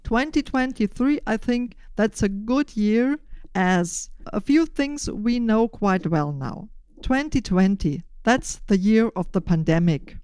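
An older woman with a German accent speaking deliberately and in measured phrases as a podcast host, giving prepared interview answers. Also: medium pitch, expressive, a little mellow, clear, resonant tone, no room sound.

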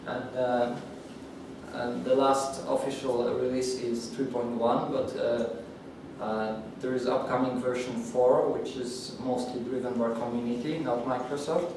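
A young man from Poland gives a technical talk to a room of developers, stopping and restarting often and filling gaps with fillers. His voice sits at an ordinary pitch for a man. It was picked up from well away by a distant mic in a very echoey room with steady noise behind. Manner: halting, many fillers and restarts. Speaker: conference speaker. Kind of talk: technical talk at a user group. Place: meeting room with audience.

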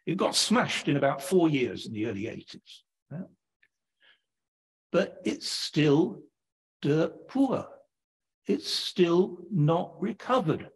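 An older English man from Sheffield giving a public lecture at a lectern, chatty and animated, often tagging sentences with "right?". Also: high voice, breathy edge. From England, Sheffield. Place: lecture hall, standing at a lectern. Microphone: lectern microphone.

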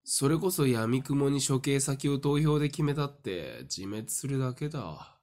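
A man playing a sly, smug fortune-teller in a voice drama, theatrical and condescending with a taunting calm. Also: medium pitch, a little slow, lightly acted. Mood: smugly calm. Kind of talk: Japanese voice drama acting.

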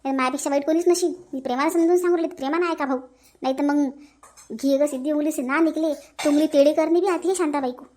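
A very high, almost childlike voice acted out for a cartoon plays a bossy village housewife. She speaks in Varhadi Marathi, scolding and repeating herself for emphasis. She is nosy, outspoken and comic. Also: fairly even intonation, quick pace, a little mellow, slight background noise, close to an everyday voice. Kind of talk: comic family cartoon dialogue.

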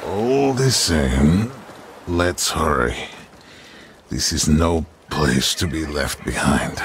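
An actor playing a bumbling, hungover frontier trapper in a game, gruff and hesitant, groaning and stammering. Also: male voice, rumbling low, animated, takes it slowly, a little hiss or hum, exaggerated voice. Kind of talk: video game cutscene acting.

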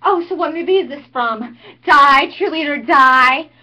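A woman's voice: high voice, a little slow, pushed and bright, voice pushed up. A woman playing a bratty, snobbish teen cheerleader. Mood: sarcastic. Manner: sarcastic, bratty put-on theatrics. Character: snobbish teen girl cheerleader, mocking and haughty. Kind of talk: amateur monologue performance. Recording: dull lo-fi recording.

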